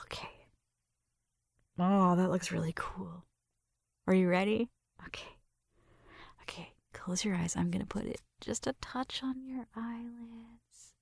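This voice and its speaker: A woman acting a breathy, whispering, flirtatious roommate. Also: medium pitch, a little mellow, no room sound, studio quality, full character voice. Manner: hesitant, halting, tender. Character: shy, flirtatious female roommate giving an affectionate Halloween makeover. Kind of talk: intimate ASMR roleplay addressed to the listener.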